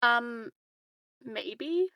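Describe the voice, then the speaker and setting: A woman's voice: on the high side, animated, very slow, bright, forceful tone, dry recording, clean studio sound. A woman chatting casually as a player in a tabletop game.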